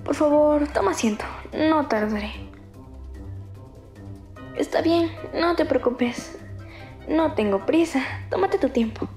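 A woman's voice: high voice, expressive, takes it slowly, long pauses, darkish tone, full character voice. A woman voicing cartoon country characters in a fan comic dub. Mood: gentle, courteous. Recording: roomy echo under music.

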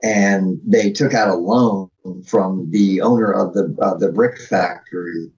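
A man's voice: low voice, unhurried, darkish tone. American man chatting on slightly muffled audio. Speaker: podcast guest. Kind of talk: casual conversation.

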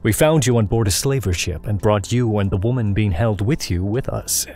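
An actor playing a kind, earnest young adventurer who reassures others in an audio drama. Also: male voice, on the low side, moderate pace, darkish tone, soft background music, close to an everyday voice. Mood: reassuring.

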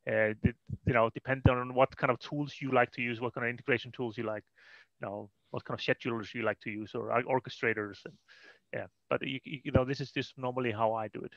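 A man's voice: mid-range voice, steady tone, quick pace, gravelly, no room sound. A man with an Icelandic accent giving an informal, explanatory technical presentation at an online meetup, often saying "you know". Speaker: presenter, data consultant. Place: indoors on a video call. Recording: dull lo-fi online call audio.

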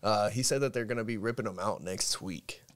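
A young man in his twenties co-hosting a casual podcast, telling relaxed, joking stories into a stand mic in a small studio. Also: on the low side, brisk, crowd noise. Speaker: podcast co-host, bartender. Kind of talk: casual chat. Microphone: studio mic on stand, headphones.

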